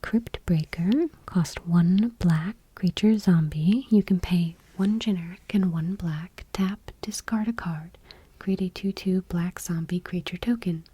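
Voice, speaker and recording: A woman host speaking in a soft, breathy whisper, gently and without hurry, reading text aloud in ASMR style. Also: lowish voice, unhurried, no room sound.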